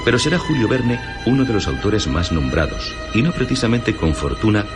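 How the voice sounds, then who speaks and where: A man with a Castilian Spanish accent presenting literary radio stories in a measured, dramatic scripted narration. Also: lowish voice, sing-song. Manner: measured, dramatic storyteller delivery. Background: music behind the voice.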